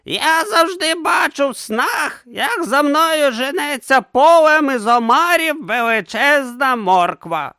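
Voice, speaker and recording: A man voicing an old blind male philosopher who is absent-minded and wry, talking slowly and musingly in a high, thin voice. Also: lively intonation, sharp tone, no room sound, studio quality, full character voice.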